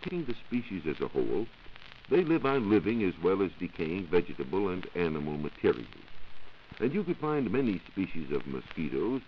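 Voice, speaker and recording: A man, a 1940s radio science reporter, giving scripted explanations in formal wording. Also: low voice. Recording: dull, noisy 1940s radio recording.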